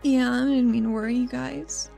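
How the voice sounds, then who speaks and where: A woman's voice: a little high, steady tone, normal speed, gravelly, mellow, soft background music. A woman playing a tabletop game, improvising in a casual, somewhat tentative way. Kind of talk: casual improvised role-play.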